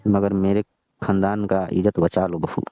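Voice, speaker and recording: Man playing middle-aged father-in-law, dark low voice, placating, honour-obsessed, colloquial, phone drama, dull recording. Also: little pitch movement, moderate pace, dry recording, natural voice. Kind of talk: amateur staged phone-call drama.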